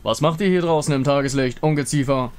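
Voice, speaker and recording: A man's voice: on the high side, fast talker, a little mellow, smooth, lightly acted. A man playing a contemptuous, bullying zealot soldier with a sneering manner. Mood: sneering contempt.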